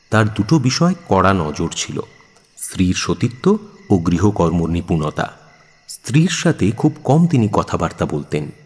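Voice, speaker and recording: Expressive male storyteller in long reverb. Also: deep voice, breathy edge. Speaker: narrator. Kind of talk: dramatic literary story narration in Bengali. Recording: long reverb, music behind. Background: music.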